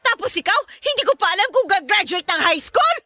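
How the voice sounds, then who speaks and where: Woman acting a shrill, high-pitched nagging mother. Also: expressive, fairly quick, pushed and bright, no room sound, clean studio sound, exaggerated voice. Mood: scolding, exasperated. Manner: scolding, shrill, emphatic. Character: nagging, exasperated Filipino mother of grown sons. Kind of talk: Tagalog radio drama acting.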